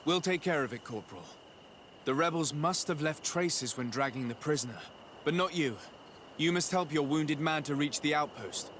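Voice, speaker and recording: An actor playing an earnest, courteous diplomat in a fantasy video game, polite and assured. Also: male voice, on the high side, normal speed, fairly bright tone, slight background noise, close to an everyday voice. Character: young male diplomat legate, earnest and courteous.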